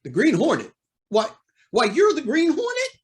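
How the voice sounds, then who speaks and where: Man as high-pitched, swooping, anxious judge. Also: lively intonation, measured pace. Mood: startled, indignant. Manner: indignant, formal, theatrical. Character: proud, anxious male judge, cornered by blackmail. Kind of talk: old-time radio drama acting.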